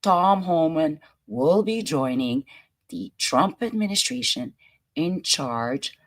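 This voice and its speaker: French lady hosting, low voice, slow and playful, chatty. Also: lively intonation. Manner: chatty, playful, laughs and muses aloud. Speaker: host. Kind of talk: casual commentary on news clips.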